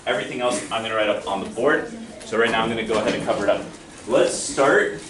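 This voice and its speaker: A man's voice: on the low side, fast. Friendly young male math teacher, far off. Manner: friendly, self-deprecating, encouraging. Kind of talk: classroom lesson. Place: classroom. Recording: echoey classroom from far off, noisy.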